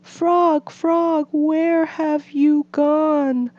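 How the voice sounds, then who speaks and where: A woman voicing an anxious, excitable male toad in a storybook, with exclaiming, plaintive, pleading delivery. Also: high voice, very slow, dry recording, lo-fi sound, pitched above the performer's own voice. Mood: anguished, lonely.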